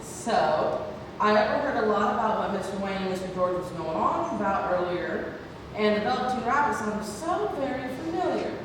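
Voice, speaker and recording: A woman acts a chatty, generous nurse in a play read-through. Her voice is medium in pitch, distant in a very echoey, noisy hall. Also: lively intonation, moderate pace, close to an everyday voice. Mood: chatty, kind. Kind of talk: amateur stage play table read.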